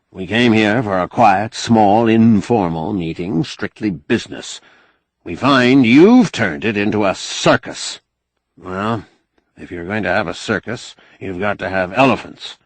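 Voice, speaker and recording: A man's voice: on the low side, breathy edge, dry recording. A man voicing a blunt, folksy old man who bargains shrewdly and sardonically in an audiobook novel. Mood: exasperated, wry. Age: older. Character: crusty, shrewd old man, bossy, wry negotiator.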